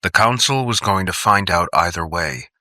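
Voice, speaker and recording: A man voicing a cautious male doctor in an audiobook, measured and smooth, gently admonishing. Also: low voice, expressive, no echo, clean studio sound, heavily acted. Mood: tense.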